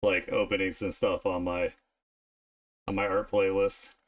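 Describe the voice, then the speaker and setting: A man streaming his art, thinking aloud in an informal, unhurried way as he works. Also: deep voice, little pitch movement. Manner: informal, unhurried musing to self while drawing. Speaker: streamer and artist. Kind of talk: casual art livestream commentary, thinking aloud.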